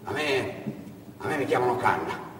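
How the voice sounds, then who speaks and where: A man playing a tall, thin street stranger who speaks quietly and coaxingly in a stage drama. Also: mid-range voice, animated, moderate pace, lightly acted. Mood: consoling, probing. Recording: echoey hall from far off, noisy.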